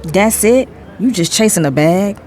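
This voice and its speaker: A woman's voice: medium pitch, animated, unhurried, a little mellow, a faint crowd, lightly acted. A woman playing a guarded socialite in an audio drama, coy and challenging in casual dialogue. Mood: coy, probing. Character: guarded, coy socialite testing her secret admirer.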